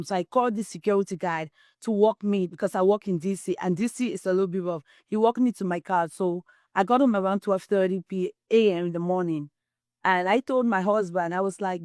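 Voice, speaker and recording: A middle-aged woman from Cameroon tells her own story as an interview guest, earnest and full of conviction. Her voice sits at an ordinary pitch for a woman. The sound is dry, with no room echo. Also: darkish tone. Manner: earnest, testimonial, quotes herself often. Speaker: guest, wellness distributor.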